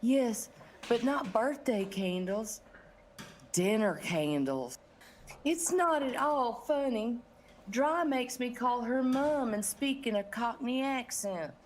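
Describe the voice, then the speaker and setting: A woman's voice: on the high side, expressive, takes it slowly, a little hiss or hum. A woman playing a flirty, ditzy, put-upon bakery bookkeeper in a comedic audio drama, coy in manner. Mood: plaintive.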